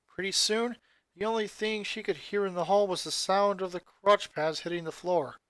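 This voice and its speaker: A narrator reading scripted fan fiction aloud in an expressive storytelling style. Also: mid-range voice, moderate pace, dry recording.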